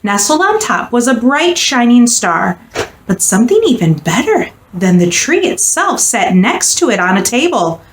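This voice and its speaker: A woman reading a children's picture book aloud with expressive, dramatic and deliberate storytelling. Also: on the high side. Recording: echoey room, faint background noise.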